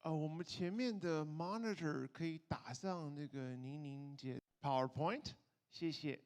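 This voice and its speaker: High-pitched male event host, slow delivery. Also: darkish tone. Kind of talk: live event hosting. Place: event stage with sound system.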